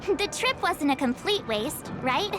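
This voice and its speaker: A woman's voice: high-pitched, a little slow. An actress voicing a bubbly, chatty teenage girl adventurer in a video game. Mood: upbeat. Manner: bubbly, expressive. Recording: game music behind the voice.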